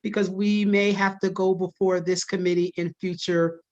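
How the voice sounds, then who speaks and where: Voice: mid-range voice, flat delivery, normal speed. A city department chief speaking courteously at a public meeting and deferring to colleagues. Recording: dull, muffled audio.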